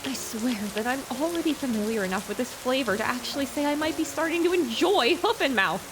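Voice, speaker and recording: A woman's voice: high-pitched, expressive, brisk, noisy background. A woman playing an anxious, naive young pony adventurer, worried and questioning, in a fantasy audiobook. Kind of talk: acted audiobook dialogue.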